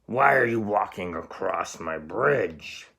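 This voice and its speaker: A man voicing a hideous, menacing old troll from a children's storybook, roaring slow demands. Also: deep voice, lively intonation, gravelly, bright, forceful tone, exaggerated voice. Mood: angry, menacing.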